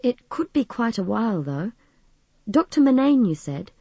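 Kind young desk clerk played by a female narrator, practical and friendly, lively intonation, storybook. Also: a little high, moderate pace, husky, dry recording, lo-fi sound, voice pushed up, exaggerated voice. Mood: kind, helpful. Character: kind, helpful young woman at hospital desk.

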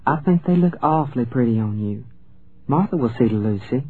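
A man voicing a gracious, coolly condescending Southern lady with a slow Atlanta accent in a storybook. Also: medium pitch, lively intonation, slightly rough, phone-quality sound. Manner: slow, genteel.

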